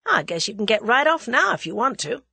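A woman's voice: fairly high pitch, big pitch swings, fairly quick, vocal fry, sharp tone, breathy edge, dry recording, pitched above the performer's own voice, heavily acted. A woman voicing a brash, cool-headed young American man in an audiobook, with breezy, commanding, slangy delivery. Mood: breezy, wry.

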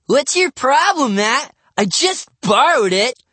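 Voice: medium pitch, big pitch swings, takes it slowly, sharp tone, no room sound, voice pushed up, exaggerated voice. A narrator voicing a skinny, indignant boy prankster who whines and protests in a storybook. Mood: startled, indignant.

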